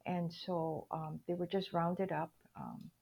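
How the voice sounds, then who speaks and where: An older woman from Hawaii speaks as an interview guest with a husky, slightly rough voice. She tells her story reflectively and haltingly, searching for words as she goes. The recording is a little dull and lo-fi. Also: lowish voice. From Hawaii, United States. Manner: reflective, halting, acts out remembered dialogue.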